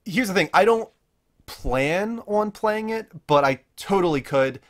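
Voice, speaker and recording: A man's voice: high voice, animated, measured pace. Man hosting a casual gaming podcast. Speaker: podcast host.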